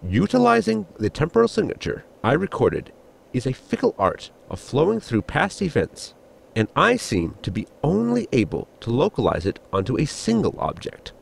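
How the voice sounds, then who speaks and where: A man's voice: medium pitch, huge pitch swings, normal speed, gravelly, husky, exaggerated voice. An actor playing a formal, fussy inventor crewman with stiff, precise diction in a sci-fi audio comedy. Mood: earnest. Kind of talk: audio sitcom acting.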